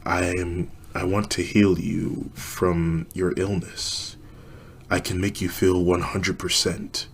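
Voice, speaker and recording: A man voicing an earnest, kind teenage boy who heals people, speaking gently and politely with small stammers. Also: deep voice, little pitch movement, slow, gravelly, slight background noise, full character voice. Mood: gentle.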